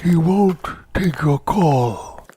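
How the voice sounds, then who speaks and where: An actor playing a nervous, cagey underworld boss in a motion comic, speaking formally, with measured caution. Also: male voice, fairly high pitch, animated, dark tone, a little hiss or hum. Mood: uneasy. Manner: measured, careful, uneasy.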